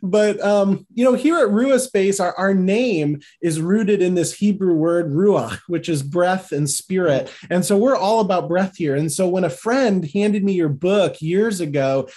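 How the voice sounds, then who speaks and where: A male podcast host with a Midwestern American accent speaks with eager enthusiasm. His voice is high for a man, and he is warmly effusive as he introduces his guest. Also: measured pace, few pauses. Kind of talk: podcast hosting and sponsor appeal.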